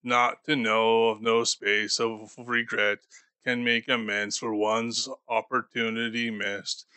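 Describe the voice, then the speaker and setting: A man voicing a doomed, grieving male ghost bound in chains, speaking slowly and gravely for an audiobook. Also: fairly low pitch, level tone, sharp tone, no echo. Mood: mournful. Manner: slow, solemn and grave. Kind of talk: audiobook character voice.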